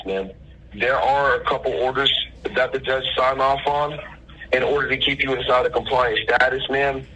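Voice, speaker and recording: Forceful, formal man on the phone. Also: mid-range voice, slightly rough. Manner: formal, insistent, repeatedly addressing listener as ma'am. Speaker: scam phone caller posing as a court official. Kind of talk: official-sounding phone call about legal matters. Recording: telephone line with faint noise.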